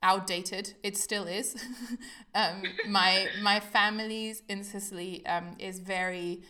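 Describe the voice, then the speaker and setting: A woman's voice: mid-range voice, little pitch movement, takes it slowly, bright, forceful tone. A woman podcast host who was born in Italy and grew up in Germany, chatting casually in a rambling way with many "um"s and "like"s. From Germany, Italian-born. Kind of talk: casual conversational chat.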